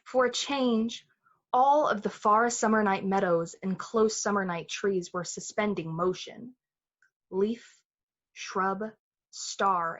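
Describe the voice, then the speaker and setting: A woman's voice: mid-range voice, muffled recording. A woman playing the storyteller of a thriller, narrating intensely and with suspense in the manner of a 1940s radio play. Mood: hushed suspense. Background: music.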